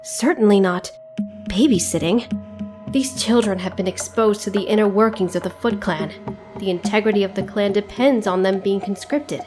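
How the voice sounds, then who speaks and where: A woman's voice: mid-range voice, moderate pace, music in the background, natural voice. A woman playing a proud, ruthless ninja clan leader in a motion comic fan dub, speaking in a formal, imperious and menacing way. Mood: cold, insistent.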